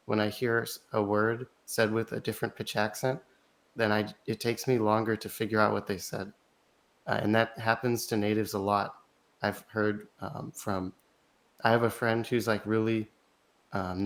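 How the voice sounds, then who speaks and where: A man as an interview guest, answering thoughtfully in casual conversation, often restarting his sentences and filling gaps with "like". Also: lowish voice. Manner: thoughtful, halting, with frequent restarts and "like" fillers. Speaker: interview guest, language learner.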